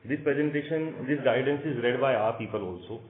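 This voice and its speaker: An Indian-accented man, a company executive giving halting, off-the-cuff answers with repeated phrases on an earnings call. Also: mid-range voice, slight background noise. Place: conference call. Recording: roomy echo, narrow phone-like line.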